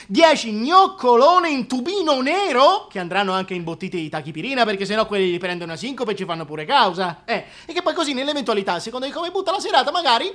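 A man's voice: very high-pitched, lively intonation, fast, hardly any pauses, fairly bright tone. A man acting a scheming, foul-mouthed, two-faced political fixer in a solo radio play, ranting and sarcastic. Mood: exasperated ranting.

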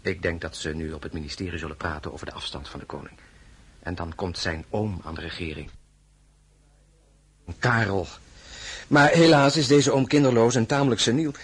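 An actor playing an army officer and attentive husband in an informal, gently teasing way in a radio drama. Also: male voice, low voice, normal speed, long pauses, slightly rough. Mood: calm, attentive. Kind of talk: Dutch radio drama acting. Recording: faintly noisy recording with clicks.

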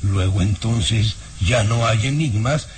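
A man's voice: on the low side, takes it slowly, noisy background. A man acting a haughty middle-aged baron in an old Mexican radio serial, with a pompous, insistent, formal manner. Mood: evasive, smug.

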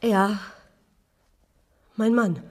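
A woman's voice: mid-range voice, drawn-out words, a little mellow, a little hiss or hum. A woman playing a timid, guarded wife of a suspect, answering hesitantly and cautiously in a radio crime drama. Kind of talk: German radio drama dialogue.

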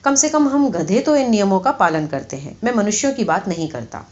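A woman's voice: medium pitch, big pitch swings, darkish tone, natural voice. A woman voicing a talking male donkey in a storybook, earnest, mock-pompous and philosophical, with a formal speechifying manner. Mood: earnest, preaching. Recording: slightly dull, lo-fi audio.

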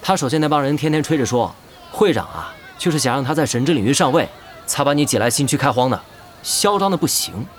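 A man's voice: medium pitch, sing-song, some chatter behind, slight background noise, natural voice. An actor playing a blunt, shrewd male guild member in an audio drama, opinionated and loyal. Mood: scornful. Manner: brisk, opinionated.

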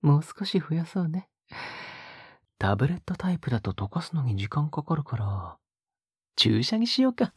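A man playing an obsessive young captor in an intimate audio drama, coaxing in a husky whisper with sweetly condescending menace. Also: a little high, big pitch swings, dry recording, exaggerated voice. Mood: sweetly controlling. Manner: slow, coaxing, condescendingly gentle.

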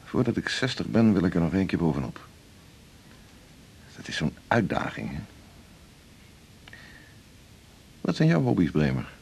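An actor playing a middle-aged senior doctor in a radio drama, a husky-voiced boss who talks in a brisk, familiar, patronising way. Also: male voice, sits low, very animated, a little mellow, slight background noise, exaggerated voice. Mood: chummy. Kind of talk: naturalistic Dutch radio drama dialogue.

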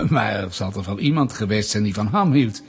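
An actor playing a smug, menacing Soviet state interrogator in a radio drama, mock-polite, needling and deliberate. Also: male voice, lowish voice, expressive, slightly rough, exaggerated voice. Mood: falsely casual.

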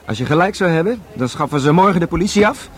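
Radio play: cynical young male detective played by an actor, slightly high voice, hot-headed, quick to argue and mock. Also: animated, close to an everyday voice. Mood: agitated. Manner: argumentative, sarcastic, ranting.

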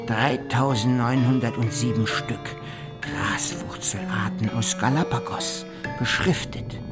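An actor playing a brooding nineteenth-century naturalist recounting his voyage in measured, declamatory phrases for a radio drama. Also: medium pitch, lightly acted. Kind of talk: literary radio drama monologue over music.

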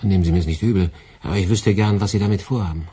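A middle-aged boss in a radio play, dry and ironic, always lecturing. His voice is very deep, dark and husky. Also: fast. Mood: sardonic. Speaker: department head.

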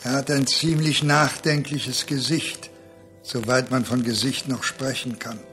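An actor as the narrator of a literary radio play, solemn and deliberate in his storytelling. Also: medium pitch, fairly even intonation, a bit bright, faint music behind, natural voice. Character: grave male storyteller of a radio novella.

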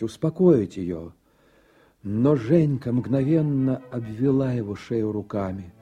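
A man's voice: medium pitch, mellow. A man narrating a literary radio drama as a storyteller reading scripted prose. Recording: 1980s radio production, faint music.

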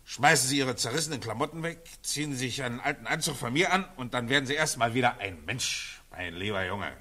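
An actor playing a pompous, patronizing middle-aged colonel with theatrical effusiveness in a 1940s German radio drama. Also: male voice, medium pitch, animated, brisk, sharp tone. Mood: condescending, generous. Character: pompous, jovially condescending colonel, father of a household.

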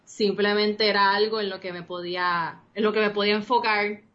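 Guest: bright-toned woman in her thirties, Puerto Rican Spanish with Costa Rican traces, rambling and chatty, slightly dull lo-fi sound. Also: mid-range voice, fairly even intonation, fairly quick. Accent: Puerto Rican Spanish with some Costa Rican traces. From Costa Rica. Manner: rambling, many restarts and fillers, mixes in English. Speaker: interview guest, game designer. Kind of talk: casual interview chat.

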